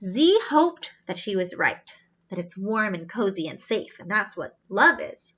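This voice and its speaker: A woman's voice: medium pitch, big pitch swings, measured pace, fairly bright tone, dull audio. A woman reading a children's storybook aloud expressively, adding explanatory asides and wondering aloud to young listeners.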